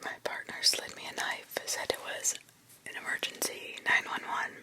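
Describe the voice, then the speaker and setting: A woman whispering spooky stories ASMR-style, pausing to react with disbelief and the odd swear. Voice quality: whispered throughout. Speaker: ASMR storyteller reading scary stories.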